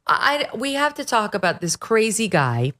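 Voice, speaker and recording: A woman's voice: a little high, big pitch swings, moderate pace, fairly bright tone. A woman hosting a political talk show, sarcastic and emphatic in her commentary. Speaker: host. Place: at the beach.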